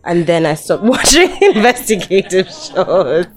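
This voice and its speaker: A woman's voice: on the low side, big pitch swings, unhurried, faint music behind. Giggly young woman with a nasal cold. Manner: giggly, chatty, laughs often. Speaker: podcast guest. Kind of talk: casual podcast chat.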